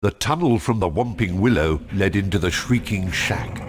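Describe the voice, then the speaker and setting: A man narrating a wizard adventure game in a measured, suspenseful storytelling style. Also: sits low, background noise, lightly acted. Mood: dramatic narration. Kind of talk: scripted dramatic game narration.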